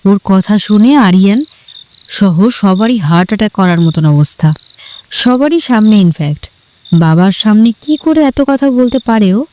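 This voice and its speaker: A woman narrates a story in a mellow voice of middling pitch. Her delivery is scripted storytelling, with faint noise in the background. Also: lively intonation, unhurried.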